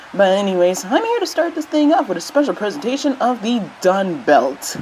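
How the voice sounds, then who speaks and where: A woman's voice: medium pitch, sing-song, moderate pace, darkish tone, slight background noise, natural voice, poor audio. A woman voicing a chatty, easily flustered male coconut wrestling boss who rambles through a casual cartoon ceremony speech. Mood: casual, rambling. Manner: rambling, exasperated. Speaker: wrestling CEO.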